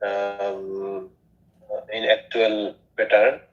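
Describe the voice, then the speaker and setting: A man from Bangladesh practices spoken English, hesitating with frequent fillers and restarts. His voice is low and unhurried. The recording sounds dull and lo-fi. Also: level tone, soft background music. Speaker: language learner. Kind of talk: practice conversation.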